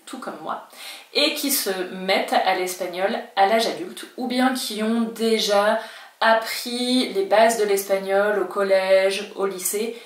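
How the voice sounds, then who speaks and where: Woman, native French speaker, Spanish teacher; friendly explainer, mid pitch, a bit off-mic in an echoey room. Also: steady tone, slow, far from the mic. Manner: chatty, reassuring, acts out learners' worries in quotes. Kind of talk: talking-head language lesson.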